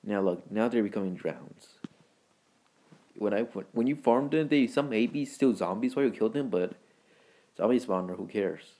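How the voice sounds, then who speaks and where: A man hosting a gaming video with casual, rambling, halting commentary in informal words. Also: on the low side, lively intonation, mellow. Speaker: gaming video creator and host.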